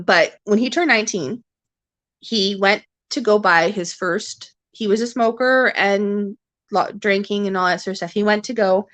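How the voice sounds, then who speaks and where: A woman's voice: medium pitch, a bit bright, no echo. A Canadian woman in her forties chatting casually with viewers on a livestream, rambling with many restarts and fillers. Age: 40s. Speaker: livestream host. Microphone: webcam or phone.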